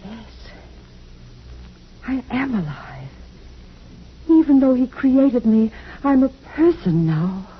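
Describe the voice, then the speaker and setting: A woman playing a gentle, wistful female android in a measured, solemn 1950s radio drama style. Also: fairly high pitch, big pitch swings. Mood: sad, longing. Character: female android, wistful, gentle, newly feeling human emotions. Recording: muffled old radio recording, faint hiss.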